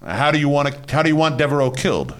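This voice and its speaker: An actor playing a suave, mysterious intruder in a suspense drama, politely menacing, with a halting, mocking manner. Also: male voice, fairly high pitch, animated, lightly acted. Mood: sly, courteous. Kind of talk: old-time suspense drama acting.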